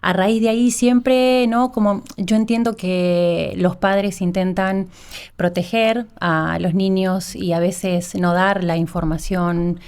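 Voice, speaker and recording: A woman's voice: lowish voice, fairly even intonation, slow pace, darkish tone, clean tone. A woman with an Argentine accent, a guest doctor answering conversationally in an interview.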